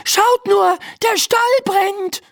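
A woman voicing a young girl in a children's story, high and youthful, exclamatory and emphatic. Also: big pitch swings, takes it slowly, heavily acted. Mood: alarmed, flustered. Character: Laura, a young girl, alarmed then bossy in her dream.

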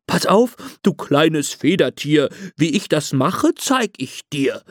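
A storyteller voicing a scornful bull in a children's tale, speaking slowly. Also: medium pitch, very animated, no room sound, studio quality.

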